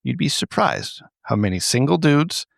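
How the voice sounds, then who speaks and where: A man's voice: mid-range voice, very animated, moderate pace, vocal fry, no echo. Male podcast co-host, middle-aged, crude and conversational, recorded close and dry. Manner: crude, profane. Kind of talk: casual comedic banter.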